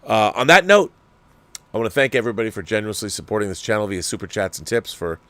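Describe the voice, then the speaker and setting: An older man who hosts his own channel, giving a rambling, opinionated rant in a casual, animated way. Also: lowish voice, fast. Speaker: channel host. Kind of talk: ranting commentary.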